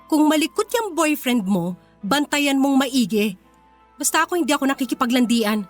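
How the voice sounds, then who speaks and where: Wounded, dignified poor young woman, high voice, earnest, emotional, radio drama with faint music. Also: expressive, normal speed. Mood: defiant. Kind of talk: Tagalog radio drama acting.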